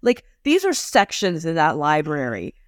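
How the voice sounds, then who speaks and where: A woman's voice: low voice, wildly swooping pitch, unhurried, dry recording, clean studio sound. A woman co-hosting a podcast, chatting casually and recapping a TV episode, laughing often and acting out scenes. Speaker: podcast co-host.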